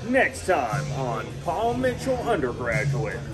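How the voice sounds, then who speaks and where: A man's voice: mid-range voice, wildly swooping pitch, takes it slowly, over music. A young man in casual, crude banter with his barber school classmates. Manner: joking, crude teasing.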